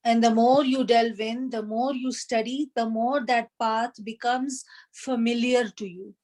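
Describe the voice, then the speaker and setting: A woman with a fairly high voice teaches Arabic grammar to a small online class. She explains step by step, patiently, filling her pauses with "uh" as she searches for the right word. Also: fairly even intonation, darkish tone.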